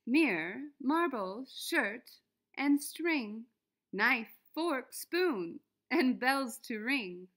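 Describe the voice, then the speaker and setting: A woman reading a children's storybook aloud in a deliberate, expressive way for young listeners. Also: high voice. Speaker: children's book reader.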